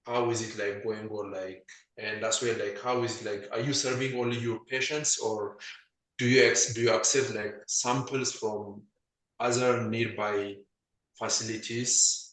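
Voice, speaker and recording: Man, lowish voice, halting and filler-heavy; muffled call mic in a roomy space. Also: steady tone, fairly bright tone. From Ethiopia. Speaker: webinar participant asking questions. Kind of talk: questions on a video call. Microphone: video call, faint and muffled.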